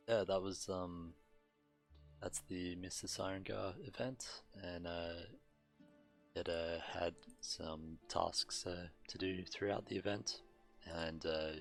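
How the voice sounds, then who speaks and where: A man streaming a game, commenting in a halting, matter-of-fact way and reading story text aloud. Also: deep voice, gravelly, fairly bright tone.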